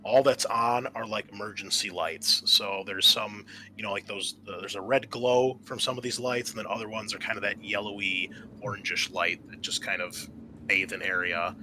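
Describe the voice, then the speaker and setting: A man working as game master, narrating a tabletop horror game in a halting, explanatory way. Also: lowish voice, fairly even intonation, bright, forceful tone, slight background noise, rough recording.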